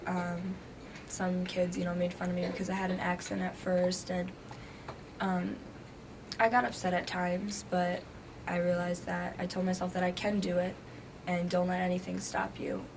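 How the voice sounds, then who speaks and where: A young woman whose first language is Greek, a graduating student answering interview questions hesitantly with many pauses. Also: fairly low pitch, flat delivery. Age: young adult. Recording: noisy background.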